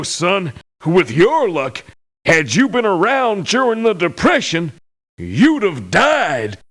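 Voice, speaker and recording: An actor playing a crotchety old American veteran mercenary in a video game, folksy and scornful of youngsters. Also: male voice, high voice, fairly bright tone, exaggerated voice. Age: older. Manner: folksy, cantankerous. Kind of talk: video game character voice lines.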